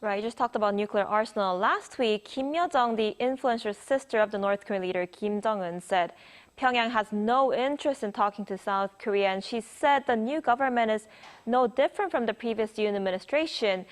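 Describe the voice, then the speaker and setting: Higher-pitched woman news anchor. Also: a little fast. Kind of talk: broadcast news interview.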